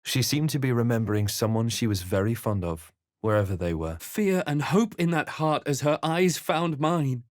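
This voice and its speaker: A man plays a fantasy video game hero who is watchful and brooding. He speaks in measured, dramatic tones at an ordinary male pitch. The sound is very dry and intimate, with no room around it. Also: animated, no echo, close to an everyday voice. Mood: intimate, tense. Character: adult male fantasy adventurer, composed, observant and brooding. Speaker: video game player-character voice. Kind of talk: dramatic first-person narration and character barks.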